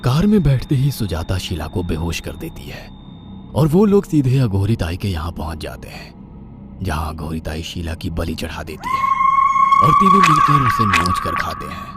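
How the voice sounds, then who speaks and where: A man's voice: deep voice, wildly swooping pitch, normal speed. A man narrating a scripted horror story dramatically, with music playing behind him. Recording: loud background music.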